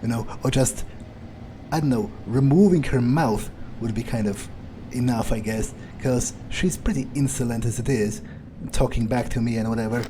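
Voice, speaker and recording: A man's voice: medium pitch, normal speed, mellow, a little hiss or hum, low-quality recording. A man doing in-character game commentary, thinking aloud in a musing, hesitant, casually sweary way. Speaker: video game player narrating in character.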